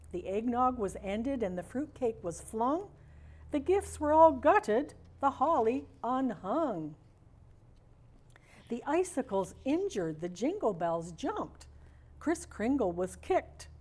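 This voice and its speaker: A woman reading aloud expressively and deliberately as a storyteller in the role of Mrs. Claus, with bits of casual chat. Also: high voice, sing-song, a little mellow.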